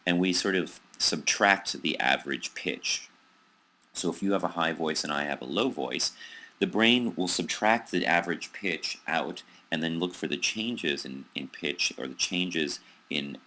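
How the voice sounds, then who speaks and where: A man with an American accent giving a recorded psychology lecture over slides, pausing often with ums and restarts. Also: rumbling low, sharp tone. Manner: hesitant, many ums and restarts.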